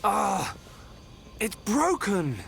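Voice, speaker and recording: A man's voice: high-pitched, wildly swooping pitch, very slow, gravelly, fairly bright tone, a little hiss or hum, full character voice. A man with an English accent playing an earnest, thoughtful young inventor in a video game. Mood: alarmed. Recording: orchestral music behind the voice.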